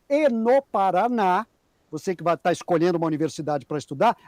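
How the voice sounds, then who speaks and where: A man's voice: high voice, a little mellow, dry recording. A man hosting a talk program, who wraps up with effusive praise and promotional announcements. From Brazil. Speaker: program host. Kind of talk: closing a talk show.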